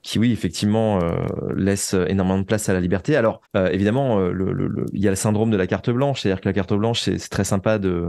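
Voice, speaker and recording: A man's voice: deep voice, steady tone, fast, few pauses, a little mellow, dry recording. A man speaking as an interview guest, a writer reflecting earnestly and often searching for his words.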